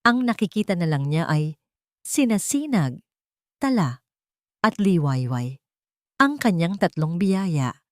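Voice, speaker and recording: A woman reading a scripted dramatic story as narrator, in short, deliberate, measured phrases. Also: lowish voice, very animated, dry recording, studio quality.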